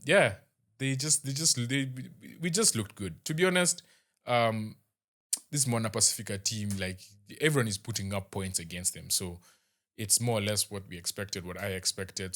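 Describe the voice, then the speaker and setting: A man's voice: lowish voice, fairly bright tone. Chatty young Kenyan man. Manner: chatty, halting, with many fillers and restarts. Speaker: rugby show host. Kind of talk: casual match review.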